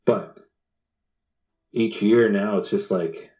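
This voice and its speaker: A man's voice: fairly low pitch, slow, a little mellow. A man in his thirties in casual conversation, blunt and sweary, often repeating his phrases. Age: 30s.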